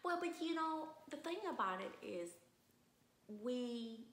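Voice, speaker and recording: A woman from Vietnam, a guest in a casual interview, speaking thoughtfully with many restarts and pauses. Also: on the high side, expressive, a bit bright. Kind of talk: casual conversation. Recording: roomy echo.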